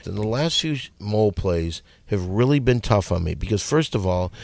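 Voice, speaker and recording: A man doing improvised comedy, deadpan and insulting, with a gravelly husky voice. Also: fairly low pitch, moderate pace, no room sound. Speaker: comedy podcast regular.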